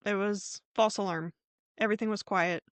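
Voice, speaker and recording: A woman's voice: mid-range voice, steady tone, normal speed, dry recording. A woman hosting a podcast, telling true crime stories in an informal, conversational way with a hedging manner. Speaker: podcast host.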